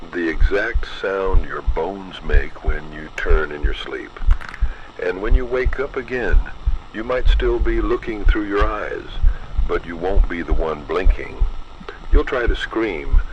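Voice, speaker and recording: A man reading a scripted horror narration in an ominous, deliberate manner, addressing the listener directly. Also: low voice, expressive, dull audio, noisy background.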